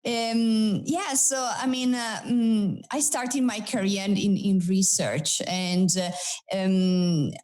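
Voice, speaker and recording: Italian-accented woman, mellow and hesitant. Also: mid-range voice, fairly even intonation, takes it slowly. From Italy. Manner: hesitant, many fillers and restarts. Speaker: guest expert. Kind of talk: interview answers.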